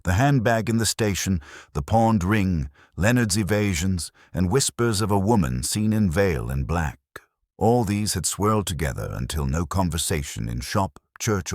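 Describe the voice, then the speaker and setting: A man narrating a scripted radio-style mystery in measured, literary storytelling. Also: sits low, no room sound, clean studio sound.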